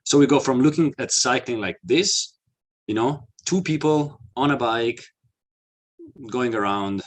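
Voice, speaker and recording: A man's voice: medium pitch, unhurried. A man from Italy with an Italian accent, giving an earnest, explanatory webinar talk with frequent fillers and restarts. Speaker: webinar presenter, mobility consultant.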